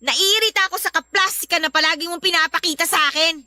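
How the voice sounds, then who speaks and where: A woman's voice: very high voice, fast talker, bright, forceful tone, a little hiss or hum, exaggerated voice. A woman playing a resentful, irritable young elder sister in a Filipino radio drama, with a Filipino accent and a blunt, exasperated delivery. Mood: angry, bitter.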